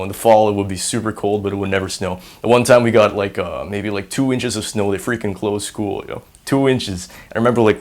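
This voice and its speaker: A man's voice: on the low side, gravelly. A young man in his twenties from Singapore, speaking casually with a hybrid American and Singaporean accent, rambling with lots of fillers and self-deprecating humor. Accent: mix of American and Singaporean. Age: 20s. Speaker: university student. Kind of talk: casual on-camera interview monologue.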